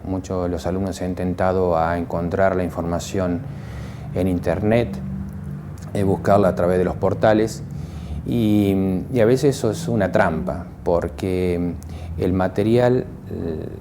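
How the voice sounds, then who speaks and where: A man with an Argentine accent speaks in a low, somewhat mellow voice. He explains things as a library worker being interviewed, with some noise behind him. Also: unhurried.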